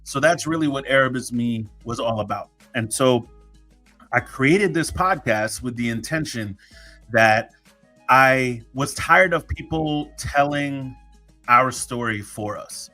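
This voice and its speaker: A man talks through a solo podcast episode in a loose, joking way, stopping and restarting often. His voice is bright and forceful, at a middle pitch. Faint music sits under him. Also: slow. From United States. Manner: chatty, joking, many fillers and restarts. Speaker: podcast host. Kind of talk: casual solo podcast talk.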